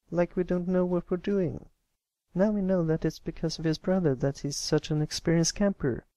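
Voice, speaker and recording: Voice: medium pitch, mellow, no room sound, pitched above the performer's own voice, lightly acted. A reader voicing a brash, accusing young tomboy filly in a story, blunt and challenging. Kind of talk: acted fan fiction reading.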